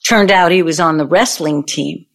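A woman in an audio play acting a vengeful, older ex-girlfriend who needles and tells her story theatrically. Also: fairly low pitch. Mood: dramatic storytelling. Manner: deliberate, taunting, storytelling delivery.

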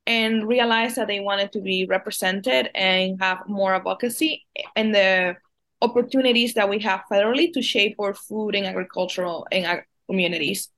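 A young woman from the Dominican Republic speaks as a podcast guest. She answers in long, earnest runs and sometimes restarts a word. Her voice sits at an ordinary pitch for a woman. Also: a bit bright. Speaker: guest, farm policy advocate. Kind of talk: casual interview answers.

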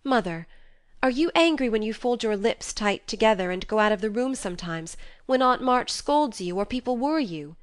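Woman acting an impassioned teenage girl, swooping pitch. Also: expressive, moderate pace, no room sound, studio quality, natural voice. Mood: earnest, curious. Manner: emotional, pleading, earnest. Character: passionate remorseful fifteen-year-old girl, earnest and impulsive. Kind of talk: acted audiobook dialogue from a classic novel.

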